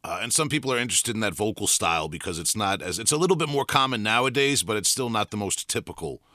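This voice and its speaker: A man's voice: fairly low pitch, lively intonation, fast talker, pushed and bright, dry recording, studio quality. A man from the United States hosting a podcast, casual and loose, often saying "man" while he interviews. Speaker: podcast host and vocalist.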